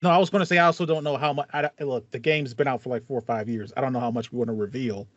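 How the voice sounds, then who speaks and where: American male podcast co-host, 30s, mid-pitched, slangy storyteller, dry and slightly muffled audio. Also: steady tone, very fast, a bit bright, no echo. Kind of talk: casual chat about video games. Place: small home room with foam wall panels. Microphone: headset, video call.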